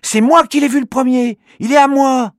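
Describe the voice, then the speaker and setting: Shrill, grumpy little boy. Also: animated, moderate pace, gravelly, a bit bright, no room sound, studio quality, exaggerated voice. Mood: possessive. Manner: shrill, quarrelsome. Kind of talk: children's storybook reading.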